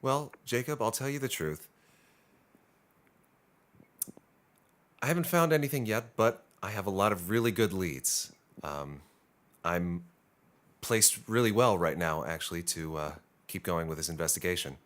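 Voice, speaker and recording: A man playing an earnest, dogged American reporter in a Cold War radio drama, intense and a little halting, with an American accent. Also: low-pitched, a bit bright. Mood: hesitant, earnest. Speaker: investigative reporter. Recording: clearly noisy ambience behind the voice.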